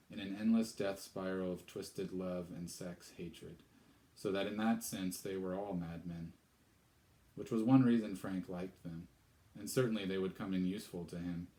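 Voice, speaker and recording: A man reading a novel aloud, pausing for relaxed, chatty asides. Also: sits low. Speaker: storyteller. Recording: echoey room.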